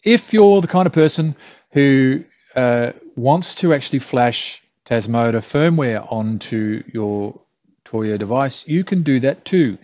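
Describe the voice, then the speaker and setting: A man's voice: medium pitch, expressive, slow pace, a little mellow, no room sound. A middle-aged man with an Australian accent talks through a tech tutorial in a loose, joking, self-mocking way. The sound is dry and close, with little room around it and a slightly dull, muffled top end. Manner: chatty, self-deprecating, blunt with mild swearing. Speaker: tutorial host. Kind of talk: casual screen-recorded tech tutorial.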